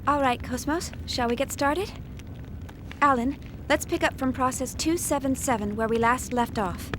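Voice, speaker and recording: Woman playing a young engineer lady in a game, high voice, soft-hearted n chatty, noisy background. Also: moderate pace, close to an everyday voice. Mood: businesslike. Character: gentle, caring young female chief engineer. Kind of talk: video game voice acting.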